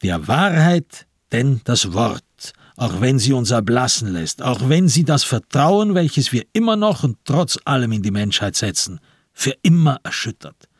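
A man giving a deliberate, solemn scripted literary narration for a radio drama. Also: mid-range voice, very animated, husky, dry recording, clean studio sound.

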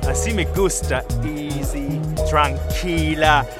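An actor playing a controlling bar boss in a radio drama, condescending and quietly threatening. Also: male voice, fairly high pitch, steady tone, takes it slowly, music in the background. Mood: menacing. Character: controlling male bar boss, menacingly soft.

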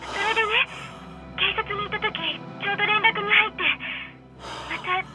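A woman's voice: extremely high pitch, little pitch movement, normal speed, over music, poor audio. An actress playing a polite, grieving teenage girl in a Japanese radio drama. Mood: anxious, earnest.

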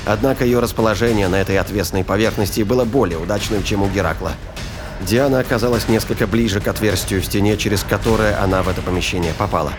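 A man's voice: on the low side, fast, music in the background. A man narrating a science fiction thriller in a scripted, dramatic storytelling style.

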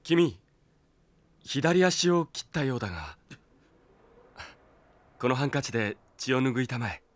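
Courteous, mid-pitched mysterious student. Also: male voice, big pitch swings, unhurried, slight background noise. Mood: cool, courteous. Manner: courteous, formal. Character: polite, cool, mysterious teenage transfer student. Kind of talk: audio drama acting.

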